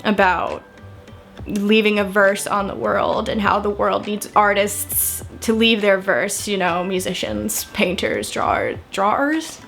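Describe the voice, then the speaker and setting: A young American woman talks in an everyday, middle-pitched voice, as a vlogger would. She rambles in a casual, chatty way and often rephrases herself as she goes. Soft music plays underneath. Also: fairly even intonation, unhurried, gravelly. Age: 20s. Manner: chatty, rambling, frequent self-corrections and small laughs. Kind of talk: casual chat to camera.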